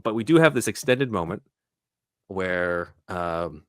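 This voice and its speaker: A man with a very low voice hosts a casual film podcast. He explains things scene by scene in a halting, conversational manner. The sound is dry and close. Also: expressive, a bit bright, dry recording. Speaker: podcast host.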